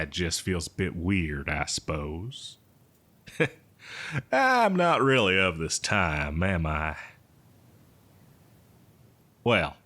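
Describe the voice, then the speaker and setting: Man playing a rambling, animated eccentric botanist. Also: low voice, full character voice. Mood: wry, hesitant. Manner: hesitant, rambling, folksy, with chuckles and drawn-out words. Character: eccentric, cheerful, rambling botanist, survivor from before the apocalypse. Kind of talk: roleplay audio drama monologue addressed to listener.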